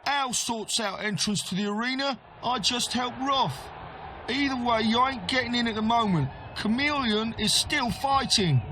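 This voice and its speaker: An actor playing a cheeky, dismissive young arena helper in a video game. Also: male voice, extremely high pitch, moderate pace, sharp tone, slight background noise. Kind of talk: video game character acting.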